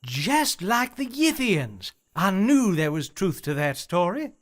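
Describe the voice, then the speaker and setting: An open-minded believer in the uncanny, played by an actor in a radio play. The voice is earnest and reasoning, with animated, lively pitch. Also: takes it slowly. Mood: earnest, intrigued.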